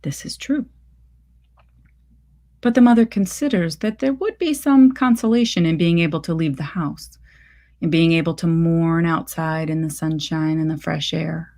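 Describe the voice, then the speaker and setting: Female story reader, lower-pitched voice, measured and deliberate, literary narration. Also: fairly even intonation, a little mellow.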